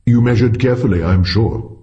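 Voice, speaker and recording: A man voicing a learned senior astronomer with a measured, formal and courteous manner, in a story reading. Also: medium pitch, dark tone, highs cut off, natural voice. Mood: polite.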